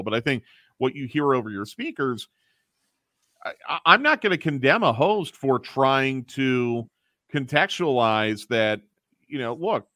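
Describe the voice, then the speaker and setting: A man's voice: mid-range voice, pushed and bright, no echo, studio quality. A man who runs a radio station's programming, talking casually on air and thinking aloud with restarts.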